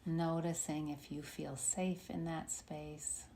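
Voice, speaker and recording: Woman doing guided meditation, really slow and creaky, soothing w long pauses, bit of background noise. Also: low-pitched, fairly even intonation, warm tone. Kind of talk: guided meditation, body scan.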